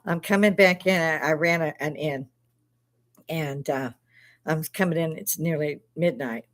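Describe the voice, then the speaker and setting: A woman's voice: sits low, measured pace. Laughing, rambling woman with a Chicago accent. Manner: laughs often, swears freely, rambling anecdotes. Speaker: live stream host. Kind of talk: casual storytelling chat.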